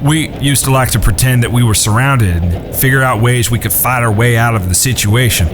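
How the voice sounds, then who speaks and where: A man as a calm, courteous drawling gunslinger. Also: medium pitch, fairly quick, lightly acted. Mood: composed menace. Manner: folksy, polite, long-winded explaining. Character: calm, courteous, quietly menacing Western gunslinger brother. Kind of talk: Western audio drama acting. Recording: music bed behind the voice.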